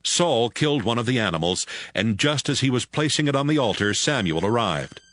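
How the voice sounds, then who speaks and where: A man narrating scripture from a script in a measured storytelling manner. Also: medium pitch, animated, a bit bright, no room sound, clean studio sound.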